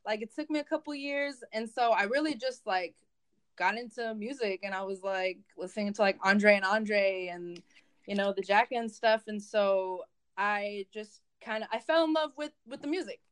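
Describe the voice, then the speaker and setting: A woman's voice: mid-range voice, normal speed, clean tone. A young woman whose first language is Spanish, chatting in a casual interview and rambling along with lots of fillers and restarts. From United States. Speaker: guest, event organizer.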